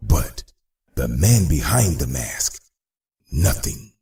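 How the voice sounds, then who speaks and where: An extremely deep male villain in a motion comic. He is a polite, menacing crime boss who speaks slowly and formally, with theatrical swings of tone. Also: very animated, vocal fry, dark tone, exaggerated voice. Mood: smug probing.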